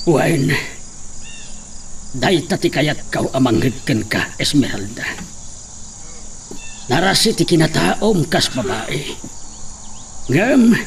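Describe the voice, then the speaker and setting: Brief: male actor as aging oppressed father, slow grave delivery, raised pitch. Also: big pitch swings, gravelly, a little hiss or hum, exaggerated voice. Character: weary old peasant father, oppressed. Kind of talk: audio drama acting.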